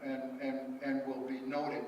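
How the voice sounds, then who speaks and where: A man presenting at a public meeting, speaking with halting restarts and repeated words. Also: medium pitch, completely flat delivery, darkish tone, lo-fi sound. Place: large echoey meeting hall. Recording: echoey hall audio from far off, faint noise.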